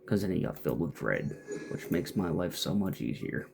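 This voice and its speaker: Low, gravelly man with a lively delivery. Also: normal speed, noisy background. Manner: muttering, thinking aloud to himself. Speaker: gamer playing and commenting. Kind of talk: casual off-the-cuff gameplay commentary.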